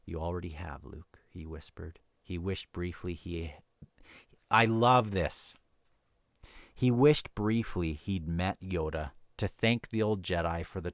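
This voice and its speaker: Deep-voiced man in casual book talk. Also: no room sound. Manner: informal, musing, stumbles over words. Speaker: host of a book review show. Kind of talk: casual book discussion with read-aloud passages.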